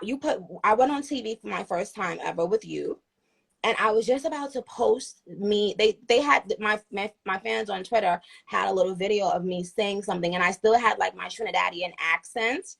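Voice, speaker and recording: Woman on a phone live, raspy gravelly voice, rambly, lots of restarts. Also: mid-range voice. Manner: rambling, with many restarts and fillers. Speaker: live stream host. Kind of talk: casual chat on a live video call.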